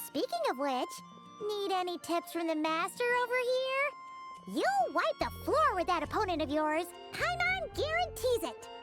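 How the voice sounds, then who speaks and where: A woman's voice: extremely high pitch, sing-song, measured pace, heavily acted. A woman voicing a tiny, childlike, eager fairy companion in a video game, with a bubbly manner. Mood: upbeat, encouraging. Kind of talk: video game character dialogue. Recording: music playing behind.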